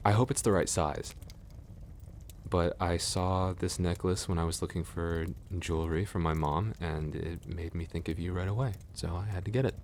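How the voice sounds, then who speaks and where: A young man playing a gentle, nervous best friend in an ASMR boyfriend roleplay, speaking softly and hesitantly in a tender, reassuring way. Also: fairly even intonation, moderate pace, slight background noise. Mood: nervous, warm. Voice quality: very deep, creaky.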